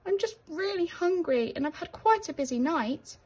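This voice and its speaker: A woman voicing a small, timid, polite young male mouse in a children's story, squeaky and apologetic. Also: expressive, normal speed, a little mellow. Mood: meek, apologetic. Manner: meek, apologetic.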